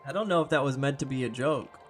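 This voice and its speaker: A young man, hoarse and losing his voice, chatting casually with viewers while streaming a game. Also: mid-range voice, quick pace, faint music behind. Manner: chatty, talks to viewers by name. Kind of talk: casual live-stream chat.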